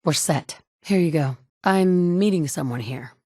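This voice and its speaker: A tough, sardonic wasteland survivor with a low-ish woman's voice, blunt and dry, tossing off sarcastic asides. The studio sound is close and completely dry, with no room around it. It sounds like polished game dialogue. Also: unhurried, dark tone, dry recording. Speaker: player character. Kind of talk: video game voice acting. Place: studio booth. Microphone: close studio mic.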